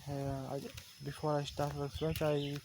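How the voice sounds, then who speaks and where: Man, slow hesitant English, fillers, outdoors, loud insects. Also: a little high, monotone, noisy background. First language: Portuguese. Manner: halting, searching for words, frequent "yeah". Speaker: language learner. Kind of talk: unscripted practice monologue.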